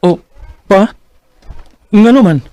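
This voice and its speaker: Actor playing a torn young husband, stammering, pleading, slightly high-pitched. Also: male voice, slow pace, background noise. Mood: anguished. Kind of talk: Bisaya radio drama acting.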